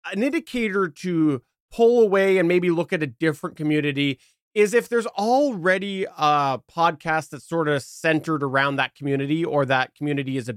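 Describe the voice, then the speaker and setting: A man hosting a podcast discussion, explaining points in a measured, methodical and tentative way. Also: fairly high pitch, clear, resonant tone, dry recording, clean studio sound. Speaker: podcast host.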